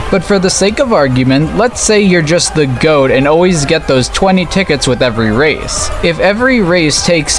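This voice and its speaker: Male gaming video essayist, slightly high voice, scripted narration with comic exasperation and swearing, music clearly underneath. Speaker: host of a gaming video channel. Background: background music.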